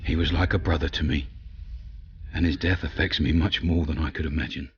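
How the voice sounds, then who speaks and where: A man playing a tender, grieving soldier reading out his letter in a video game, with a husky voice. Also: extremely low pitch, steady tone, a little fast, a little hiss or hum. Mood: tender, sorrowful. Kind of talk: letter read as game voice-over. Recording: dull, muffled sound.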